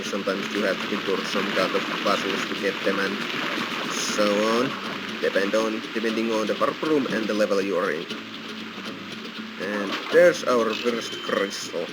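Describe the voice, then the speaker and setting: A man's voice: fairly low pitch, moderate pace, heavy background noise. A man giving casual walkthrough commentary as host of a gaming video. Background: loud game music.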